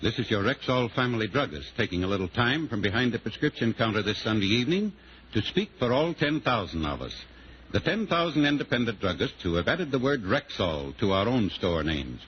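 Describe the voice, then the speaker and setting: A man's voice: mid-range voice, sing-song, brisk, highs cut off, close to an everyday voice. A man playing an earnest neighborhood pharmacist with an American accent in a scripted 1940s radio commercial. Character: earnest, dependable neighborhood pharmacist, sponsor spokesman. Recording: band-limited, faintly hissy 1940s radio recording.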